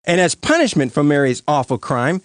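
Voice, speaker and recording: Cartoon prosecutor, brash, glib, very animated intonation, insistent, dry studio sound. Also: male voice, fairly high pitch, fairly quick, dry recording. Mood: showy, glib. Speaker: prosecuting lawyer. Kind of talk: animated comedy courtroom dialogue.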